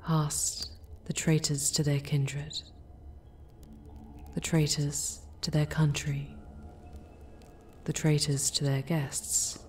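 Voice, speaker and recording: A woman playing an ancient, protective female demon in an ASMR audio drama, speaking in a breathy, formal, deliberate hush. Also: low-pitched, flat delivery, slight background noise. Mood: gentle, solemn.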